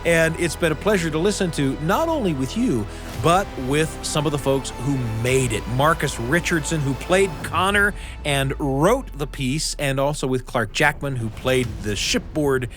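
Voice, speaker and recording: A man's voice: a little high, animated, normal speed. A man hosting a show in a conversational style, with music underneath. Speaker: host.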